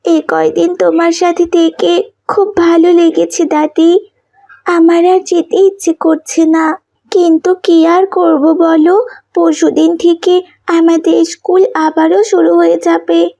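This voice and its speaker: A woman voicing a sweet, loving young schoolgirl in a children's cartoon, gentle and earnest. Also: very high voice, little pitch movement, slow pace, gravelly, highs cut off, full character voice. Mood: sad, affectionate. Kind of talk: children's cartoon story acting.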